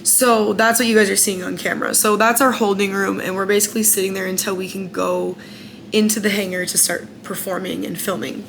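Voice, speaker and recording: A woman's voice: mid-range voice, steady tone, brisk, creaky, fairly bright tone, a little hiss or hum. A young American woman candidly recounting her time as a singing contestant in a casual vlog, chatty, with lots of fillers. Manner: candid and chatty, many "like" fillers, frank opinions.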